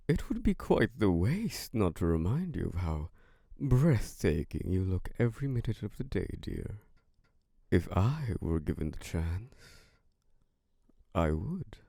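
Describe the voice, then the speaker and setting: A man voicing an ancient, charming and possessive male vampire lord in an ASMR roleplay, speaking slowly and flirtatiously with soft laughs. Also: big pitch swings, no echo, clean studio sound, heavily acted. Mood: seductive. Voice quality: deep, gravelly. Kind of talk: ASMR roleplay audio drama.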